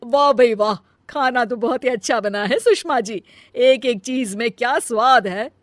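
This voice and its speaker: A woman's voice: fairly high pitch, unhurried, no room sound, clean studio sound, lightly acted. A woman voicing a generous visiting aunt in a family comedy cartoon, effusive and approving. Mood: admiring. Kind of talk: acted Hindi family comedy story.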